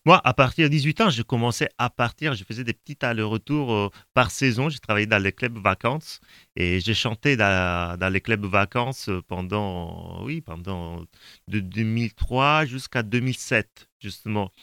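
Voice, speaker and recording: A man's voice: fairly low pitch, moderate pace, sharp tone, no echo, studio quality. A man from Sicily with an Italian accent, a musician guest on a radio show, speaking hesitantly with many fillers and laughing often. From Sicily, Italy. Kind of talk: radio interview. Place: radio studio.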